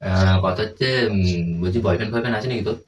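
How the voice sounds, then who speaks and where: A man's voice: low voice, fast talker, recorded from a distance, dull audio. Young man, coaxing prank caller, a little off-mic, faint noise. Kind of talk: casual phone chat.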